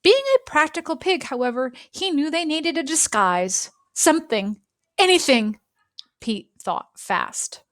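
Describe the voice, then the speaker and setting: A woman with a slightly high voice narrates a picture book for children. She takes her time, phrasing deliberately and pausing for drama. The sound is dry, with very little room in it. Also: no echo. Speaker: storybook reader. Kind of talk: expressive children's read-aloud narration.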